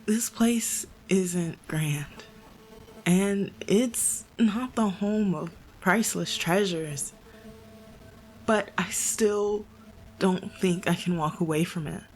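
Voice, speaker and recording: An actress playing a bookish, guilt-ridden young woman in an audio drama, halting and hushed as she confesses her doubts. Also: lowish voice, slight background noise, rough recording. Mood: haunted, resolute. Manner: halting, reflective, self-doubting.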